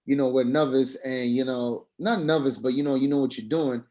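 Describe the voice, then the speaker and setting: A man's voice: mid-range voice, steady tone, brisk, darkish tone. A man in a casual group discussion, speaking informally and asking questions as a student of film.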